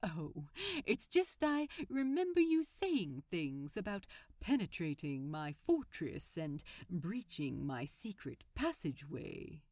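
A woman's voice: low-pitched, very animated, takes it slowly, a little mellow, no room sound, clean studio sound, voice pushed down, exaggerated voice. A woman reading a storybook role as a polite, coy male supervillain who is affectionately smitten. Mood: teasing.

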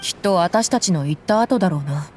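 A woman's voice: fairly low pitch, expressive, brisk, a little mellow, natural voice. An actress playing a tough, reflective desert mercenary woman, speaking in a blunt, tomboyish way in anime game style. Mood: blunt, musing. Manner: blunt, tomboyish rough speech. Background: background music.

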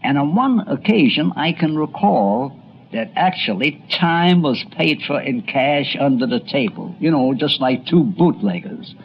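A man's voice: a little high, lively intonation, unhurried, a little hiss or hum. A man narrating a scripted history documentary like a storyteller, with conversational asides. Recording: dull, lo-fi old recording.

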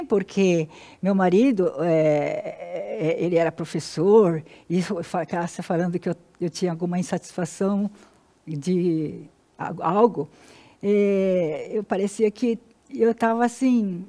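Interview guest, woman, 60s, Brazilian Portuguese, mellow mid-pitched voice, halting and sincere personal storytelling. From Paraná, Brazil. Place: indoor TV-style studio.

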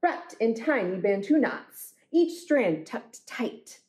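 Slow-paced woman reading a children's storybook. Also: on the high side. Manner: deliberate, expressive, lyrical phrasing. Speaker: children's librarian reading aloud. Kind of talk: storytime picture-book reading.

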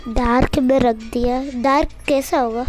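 A woman's voice: high voice, fairly even intonation, normal speed, darkish tone. A young girl giving casual, chatty and playful commentary on a game she is playing. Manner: chatty, playful, breaks into nonsense sounds and singing. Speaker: gamer commentating on own play. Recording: music behind the voice.

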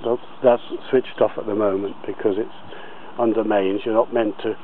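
A man giving a slow, halting outdoor tour of his allotment plot, with many pauses and fillers. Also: fairly low pitch, level tone, phone-quality sound, a little hiss or hum. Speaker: allotment gardener.

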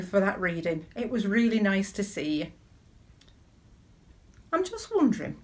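A middle-aged woman gives a conversational sermon as a church deacon, telling personal stories in a measured way and putting questions to her listeners. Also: lowish voice. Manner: measured, storytelling delivery with rhetorical questions. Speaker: church deacon leading online worship. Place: small living room at home, indoors.

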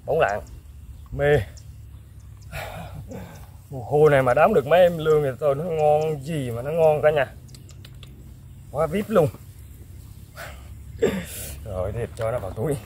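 A young man excitedly commenting to viewers while fishing outdoors, exclaiming often. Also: a little high, unhurried, pauses often, slight background noise. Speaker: fishing vlogger. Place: outdoors in fields.

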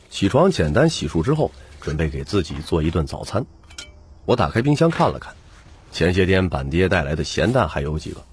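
A man's voice: deep voice, darkish tone, husky. A man narrating a novel in the first person as a scripted audiobook.

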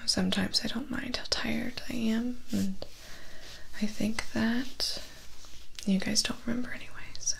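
A young woman playing a weary, kindly human assistant to a vampire in a soft, hesitant, confiding ASMR roleplay. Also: a little high, fairly even intonation, gravelly, slight background noise. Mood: gentle, weary. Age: young adult. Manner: slow, hesitant, gentle and confiding. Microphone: close ASMR microphone.

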